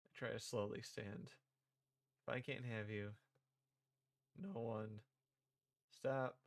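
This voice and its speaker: A man's voice: medium pitch, fairly even intonation, takes it slowly, gravelly, no echo. A man reading visual novel dialogue aloud and performing the parts, with casual asides as a streamer.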